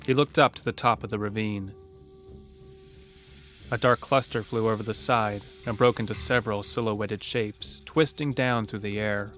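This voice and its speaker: A man's voice: lowish voice, fairly bright tone. A man narrating a scripted western horror story for an audio drama.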